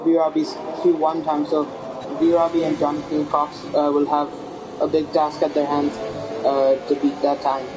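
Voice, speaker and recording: A man as co-commentator on a sim race, adding laid-back, halting remarks. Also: on the high side, completely flat delivery, music in the background, rough recording.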